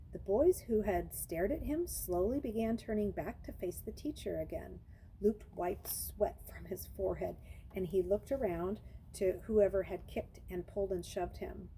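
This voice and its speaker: A woman's voice: medium pitch, dark tone, a little hiss or hum, low-quality recording. A woman reading a children's novel aloud, narrating expressively and dramatizing the story.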